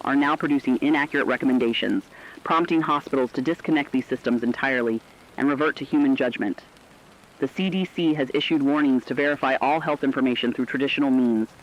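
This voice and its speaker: A man voicing a serious radio correspondent, giving scripted crisis analysis in a formal, earnest, measured way. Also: mid-range voice, close to an everyday voice. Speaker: news correspondent. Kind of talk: scripted emergency radio news report. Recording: dull lo-fi radio broadcast sound.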